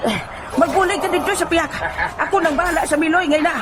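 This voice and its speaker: A woman playing an excitable leader of sword fighters in a comedic audio drama. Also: very high-pitched, quick pace, heavy background noise. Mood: boastful, urgent. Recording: loud music bed behind voice.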